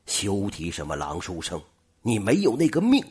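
A man voicing a stern old male hunter father, gruff, low and grave, with a husky voice. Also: huge pitch swings, moderate pace, a little mellow, full character voice.

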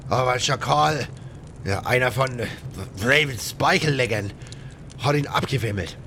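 Man as game pirate, gravelly, chatty, coarse, aggrieved, colloquial storytelling, faint background rumble. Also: measured pace, sharp tone. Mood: gruff, aggrieved. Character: rough, chatty male pirate with grudges against bandits. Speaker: reader of a game audio drama.

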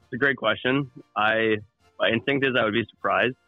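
Fast-talking man, slightly dull lo-fi audio. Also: fairly low pitch, no room sound, faint music behind. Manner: blunt, conversational, profane. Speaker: sports talk show co-host. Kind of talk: casual sports opinion chat. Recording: dull lo-fi close mic.

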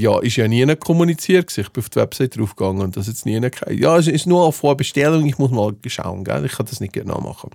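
A man with a Swiss accent telling a casual anecdote in conversation, slangy and animated, acting out what people said. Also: medium pitch, gravelly, dry recording, clean studio sound. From Switzerland. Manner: animated storytelling with slangy swearing and acted-out quotes. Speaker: podcast host telling a personal story.

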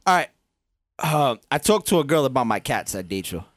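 A man's voice: mid-range voice, big pitch swings, brisk, no room sound, clean studio sound. A man co-hosting a podcast in casual chat, rambling and laughing at himself. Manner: laughing, rambling, self-mocking. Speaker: podcast co-host.

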